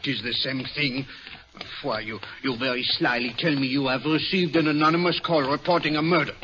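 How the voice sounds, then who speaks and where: Self-assured gentleman sleuth male actor, French accent, crisp forward tone, precise old-time radio delivery, dulled recording. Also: mid-range voice, steady tone, fairly quick, lo-fi sound, slight background noise, lightly acted. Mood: wry, lightly indignant. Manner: precise, explanatory, with formal foreign phrasing. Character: fastidious, self-assured foreign gentleman detective.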